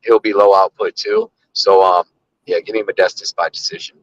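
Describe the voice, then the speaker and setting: A man's voice: deep voice, steady tone, moderate pace. Casual man on muffled call audio. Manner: casual, slangy. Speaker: co-host.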